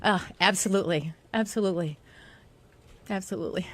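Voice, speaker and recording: Earnest, emotional woman, mid-pitched. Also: a little fast, a bit bright. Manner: earnest, effusive, repeating words for emphasis. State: shaky with emotion. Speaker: radio show guest and longtime fan. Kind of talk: casual emotional interview chat.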